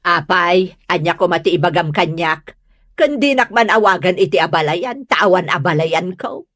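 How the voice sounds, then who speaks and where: A woman's voice: fairly low pitch, expressive, normal speed, fairly bright tone, no room sound, clean studio sound. A woman playing a scornful, stubborn middle-aged mother-in-law, curt and dismissive, in a comedy audio drama.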